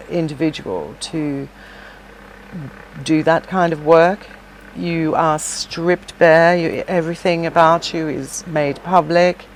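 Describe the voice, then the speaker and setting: Middle-aged woman, low-pitched, chatty guest, loose conversational delivery, faint noise under the voice. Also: rough recording. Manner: chatty and warm, many fillers and restarts. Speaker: podcast guest, shop owner and former singer. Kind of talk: casual interview chat.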